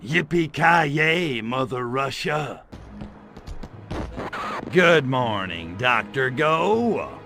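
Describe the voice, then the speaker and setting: A man's voice: medium pitch, big pitch swings, drawn-out words, gravelly, pauses often, over music, full character voice. An actor playing a cocky, wisecracking alien invader in a video game, sarcastic and swaggering in his slangy delivery. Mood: mocking swagger. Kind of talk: video game cutscene voice acting.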